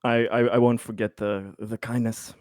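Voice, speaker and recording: A man's voice: medium pitch, level tone, moderate pace, a little mellow, dry recording, clean studio sound. A man playing a tabletop RPG, narrating his character's actions casually in a hesitant, stammering, stop-and-start way. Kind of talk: casual improvised roleplay narration.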